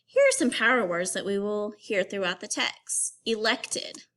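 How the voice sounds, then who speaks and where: A woman teacher reading an informational text aloud to young children, pausing to give instructions and put questions to them. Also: medium pitch, fairly bright tone.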